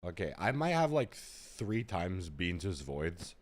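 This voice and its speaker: A man streaming a game while sick, his voice rough and hoarse. He chats with his viewers in laid-back slang and jokes around. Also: sits low, big pitch swings, slow pace. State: sick, voice gone very deep. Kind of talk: casual gaming stream chat.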